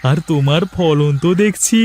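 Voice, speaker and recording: Man playing a high-pitched gossipy village neighbour. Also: moderate pace, a little mellow, close to an everyday voice. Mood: chatty, nosy. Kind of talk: Bengali moral-story cartoon dubbing.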